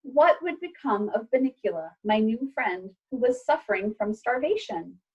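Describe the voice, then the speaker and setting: A woman reading a children's storybook aloud like a teacher, with expressive, clearly phrased delivery. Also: on the high side, normal speed, highs cut off.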